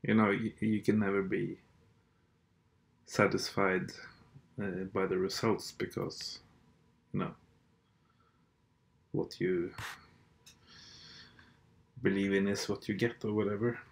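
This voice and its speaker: Slow-talking Norwegian man, low voice. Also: flat delivery, creaky, slight background noise. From Norway. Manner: hesitant, blunt, sardonic, with frequent fillers and restarts. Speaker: podcast host. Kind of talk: casual monologue.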